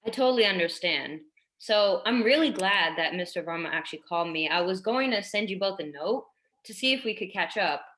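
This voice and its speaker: A woman playing a tactful, apologetic primary schoolteacher in a staged drama reading, hesitant and gently persistent. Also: mid-range voice, lively intonation, fairly quick, natural voice. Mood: reassuring. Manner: hesitant, careful, conciliatory.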